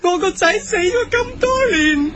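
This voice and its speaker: A woman playing a gullible, grieving mother in a comic radio skit, plaintive and halting, with a Hong Kong Cantonese accent. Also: very high-pitched, animated. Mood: tearful. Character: gullible grieving mother of a long-dead son. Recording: loud music bed.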